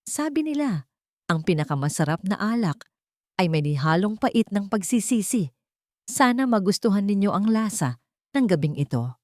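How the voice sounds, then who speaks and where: A woman narrator puts on a cold, powerful young Filipino woman out for revenge in an audio romance. Her delivery is sweet with a sarcastic edge, deliberate, in a dry recording. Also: medium pitch, sing-song, a little mellow, no room sound, pitched above the performer's own voice, natural voice.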